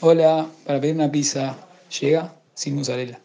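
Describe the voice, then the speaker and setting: A man's voice: fairly high pitch, steady tone, moderate pace, dark tone, dull audio. A man from Uruguay's interior with a Uruguayan accent, giving a matter-of-fact account of moving to the capital. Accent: Uruguayan Spanish.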